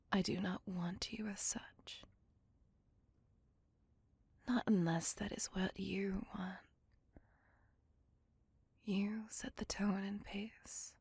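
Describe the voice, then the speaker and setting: A woman playing a courteous, doting, possessive vampire in a hushed, breathy ASMR roleplay, speaking gently in formal, courtly phrases. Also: mid-range voice, no room sound, heavily acted. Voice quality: breathy, hushed near-whisper.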